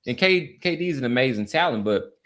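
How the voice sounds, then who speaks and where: A man's voice: medium pitch, expressive, brisk, fairly bright tone. A man co-hosting a sports podcast, talking casually and arguing his points conversationally.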